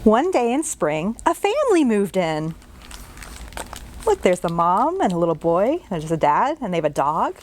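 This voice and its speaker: A woman's voice: mid-range voice, big pitch swings, moderate pace, slight background noise. A children's librarian, a woman, reading a picture book aloud and asking young listeners questions along the way.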